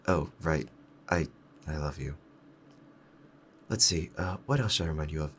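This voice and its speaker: A man playing a doting, possessive fox-spirit husband in an intimate ASMR roleplay, talking softly in a rambling, hesitant, lovestruck way. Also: rumbling low, moderate pace, a little hiss or hum. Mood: flustered, affectionate.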